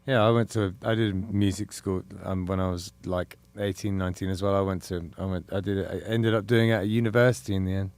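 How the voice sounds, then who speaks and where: A man hosting a music podcast, asking questions in an easygoing, halting way with lots of fillers. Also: sits low, fairly even intonation, a little mellow, no room sound. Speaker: podcast host. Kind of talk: casual interview.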